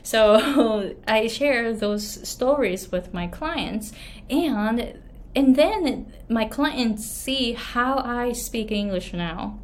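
Japanese-accented woman coach, unhurried friendly storytelling, frequent laughs. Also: fairly high pitch, expressive, slight background noise. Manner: laughs often, many fillers and restarts. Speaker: English pronunciation coach. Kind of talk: casual talk, storytelling tips.

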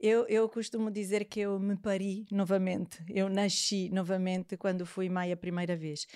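A woman in her forties with an Italian accent speaks as a guest in a relaxed interview. She works as a parenting therapist and talks in a reflective way, often agreeing quickly with her host. Her voice is mid-pitched and the recording is dry. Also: clear, resonant tone, no echo. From Italy. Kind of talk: casual interview.

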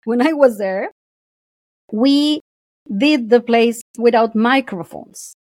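A woman's voice: fairly high pitch, slow, dry recording, clean studio sound. A woman guest in a casual podcast conversation, talking expressively and laughing often. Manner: expressive, laughs often, acts out quoted voices.

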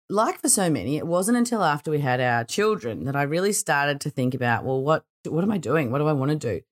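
Middle aged aussie woman doing a solo podcast, low voice bit croaky, chatty and personal. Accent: Australian. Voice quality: slightly croaky early-morning voice. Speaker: podcast host. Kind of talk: informal solo podcast monologue.

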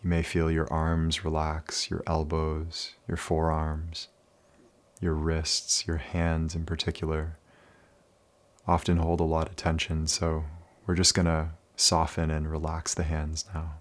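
A man leading a guided meditation in a calm, soothing, unhurried manner with many pauses. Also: extremely low pitch.

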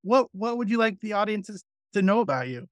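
Male podcast host, fairly high voice, dry close sound, friendly and chatty with restarts. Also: very animated, fairly quick, no room sound. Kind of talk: conversational podcast interview.

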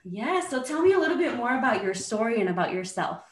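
A woman's voice: a little high, sing-song, quick pace, echoey room. A woman hosting a podcast, enthusiastic and welcoming, who mixes Spanish words into her English. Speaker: podcast host. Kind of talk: upbeat podcast interviewing.

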